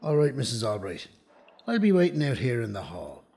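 A man's voice: mid-range voice, sing-song, fairly quick, gravelly, very noisy. A man acting in an audio drama as a courteous, attentive prison officer, polite and formal.

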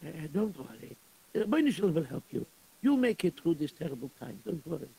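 A man playing a gravelly synagogue caretaker. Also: on the high side, very animated, fast, mellow, heavily acted, poor audio. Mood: reassuring. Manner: sighing, gossiping, fussing over tips. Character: gossipy pious male synagogue caretaker, middle-aged.

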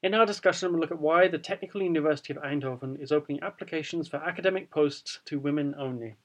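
A man's voice: a little high, quick pace. A man co-hosting a news podcast, chatting casually and then reading news items, laughing easily. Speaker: podcast co-host. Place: lives in the Netherlands.

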